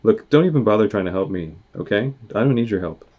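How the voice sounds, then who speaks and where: A man's voice: fairly low pitch, lively intonation, fast talker, husky. A man voicing a stubborn, proud deer in a storybook, defensive and grudging. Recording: dull, muffled lo-fi sound.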